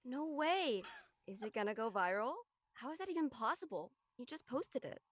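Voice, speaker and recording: Woman as curious friendly teen girl in a casual drama, highish animated voice. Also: moderate pace, no echo. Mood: amused. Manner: casual, inquisitive. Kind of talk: audio drama acting, casual dialogue.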